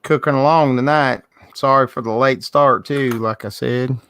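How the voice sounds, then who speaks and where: A man's voice: mid-range voice, steady tone, normal speed, a bit bright, clear, resonant tone. A man with a Southern accent hosting a casual art livestream over a video call, talking in a loose, rambling way. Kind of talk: casual chat. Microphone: video call from a laptop.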